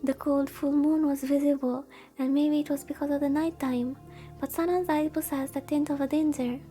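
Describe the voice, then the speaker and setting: A woman's voice: high-pitched, little pitch movement, normal speed, dark tone, faint music behind. A woman VTuber livestreaming a visual novel, chatting casually, rambling and reacting aloud as she reads along. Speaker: VTuber streamer.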